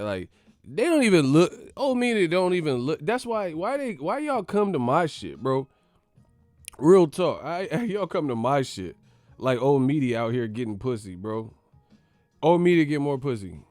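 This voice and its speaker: A man's voice: medium pitch, darkish tone, no echo. A man hosting a boxing channel who rants in a slangy, profane way, laughing and mocking as he talks. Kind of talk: profane comedic vlog rant.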